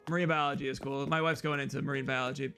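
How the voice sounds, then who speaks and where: A young man with a loud, slightly hoarse voice, rambling casually to his viewers as a live streamer while he plays a game. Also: medium pitch. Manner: loud, rambling, talks straight to chat. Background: faint guitar music.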